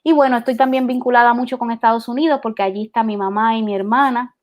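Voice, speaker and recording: A woman talks about her work in a reflective conversation. Her voice is somewhat high. She talks in a thoughtful way, pausing often and restarting her sentences. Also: fairly even intonation, muffled recording. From Puerto Rico. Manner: thoughtful, many hesitations and restarts. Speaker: interviewed artist.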